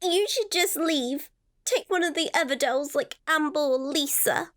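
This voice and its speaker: A woman's voice: high voice, a little slow, a bit bright. A woman voicing an eerie, vain talking girl doll that coaxes slyly in a horror radio drama. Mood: sly, goading.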